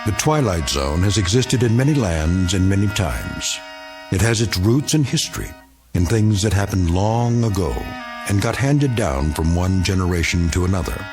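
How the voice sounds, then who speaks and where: Male American narrator, low pitch, portentous storyteller for radio drama, music bed underneath. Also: expressive, normal speed.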